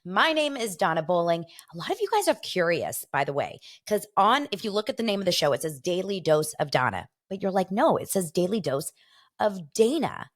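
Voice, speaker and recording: A woman's voice: on the low side, very animated, brisk, hardly any pauses. A woman in her forties with an American accent, hosting a casual solo gossip show in a chatty, jokey, informal way, talking straight to her listeners. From Los Angeles, United States. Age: 40s. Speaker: podcast host.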